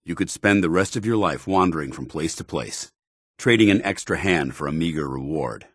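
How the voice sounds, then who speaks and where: A stern video game paladin, with a very low, husky voice that moves expressively. He is zealous and loyal, speaking with clipped military formality in a close, dry recording. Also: animated, moderate pace, a bit bright, no room sound. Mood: firm. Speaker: companion soldier character.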